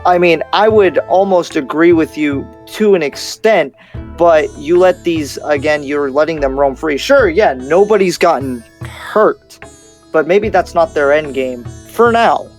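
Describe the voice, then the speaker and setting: A man playing a skeptical, cautious demon slayer in an improvised game roleplay, halting and repeating himself as he reasons. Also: fairly high pitch, soft background music, natural voice. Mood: wary, firm.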